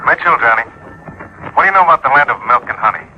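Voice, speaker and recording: A male actor plays a brisk, wry insurance manager in an old radio drama. His teasing voice sits at a middle pitch in a muffled, faintly noisy recording. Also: expressive, close to an everyday voice. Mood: wry, urgent. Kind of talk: 1950s radio acting.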